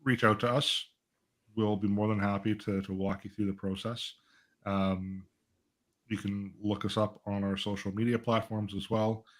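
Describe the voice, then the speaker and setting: Male financial advisor, lower register, conversational and explanatory, panel discussion. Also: flat delivery, moderate pace. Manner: explanatory, uses acted-out client dialogue and rhetorical check-ins. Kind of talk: conversational live panel talk.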